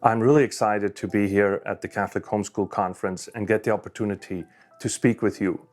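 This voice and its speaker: A man's voice: lowish voice, fairly even intonation, brisk, darkish tone, soft background music. Man telling his story. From Switzerland. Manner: conversational, frequent fillers and restarts. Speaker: conference speaker. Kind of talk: personal story talk.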